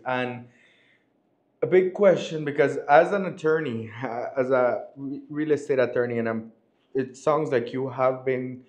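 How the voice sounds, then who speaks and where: A man's voice: on the high side, darkish tone. A man speaking casually as a video host and interviewer.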